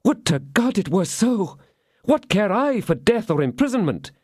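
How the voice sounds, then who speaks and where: A man's voice: sits high, very animated, moderate pace, darkish tone, no echo, studio quality, voice pushed up. A man voicing a scheming woman prisoner who pleads and prays in feigned, sobbing despair. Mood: pleading.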